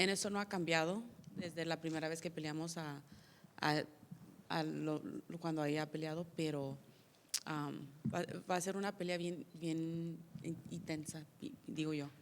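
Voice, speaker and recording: A woman fighter answering press questions in a large room, soft-spoken and halting, often restarting her words. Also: low voice, slight background noise. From United States. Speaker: MMA fighter at press scrum. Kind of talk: media day interview answers. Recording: reverberant hall.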